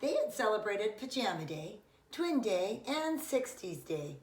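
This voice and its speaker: A middle-aged woman reads a children's story in a roomy, echoing space, a little away from the microphone. Her delivery is that of a preschool teacher, deliberate and expressive, with key words clearly stressed. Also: mid-range voice. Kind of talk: children's picture book read-aloud. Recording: echoey room, distant microphone.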